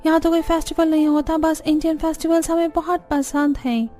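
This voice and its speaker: A woman's voice: sits high, flat delivery, a little fast, dark tone, lightly acted. A woman voicing a friendly, enthusiastic neighbour in a cartoon, gushing and mixing in English. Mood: friendly enthusiasm. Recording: music bed under the voice.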